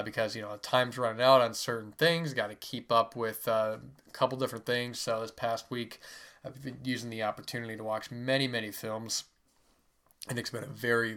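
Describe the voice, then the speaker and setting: A man's voice: medium pitch, a bit bright. A man who is sick and stuffy, hosting a podcast and casually reviewing a book in a rambling way with many fillers. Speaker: podcast host.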